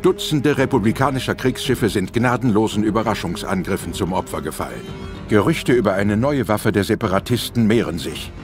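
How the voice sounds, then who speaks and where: A man's voice: fairly low pitch, expressive, brisk. A man narrating a science fiction audio drama in a dramatic, scripted style. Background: orchestral music.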